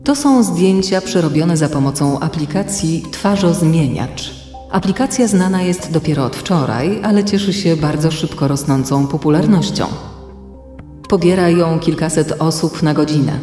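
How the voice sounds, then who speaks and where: A woman playing a calm, concerned internet guardian in a children's radio play, explaining things earnestly. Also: sits low, moderate pace, natural voice. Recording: echoey long reverb, faint music.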